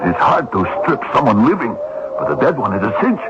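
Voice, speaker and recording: Guy acting rough bitter drifter in old radio play, bossy n impatient, lots of hiss, muffled lo-fi sound. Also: medium pitch, big pitch swings, fairly quick, close to an everyday voice. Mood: urgent, callous. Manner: brusque, impatient, emphatic. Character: bitter, hardened poor drifter turned killer, brusque and defiant. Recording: noisy band-limited old radio recording.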